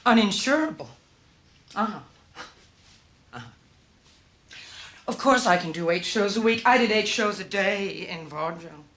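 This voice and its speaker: An American actress playing a middle-aged showbiz star, volatile, wounded and proud, in dramatic screen style. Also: female voice, medium pitch, animated, normal speed, pauses often, fairly bright tone, close to an everyday voice. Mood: proud, defiant. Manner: theatrical, emphatic, emotional. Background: music.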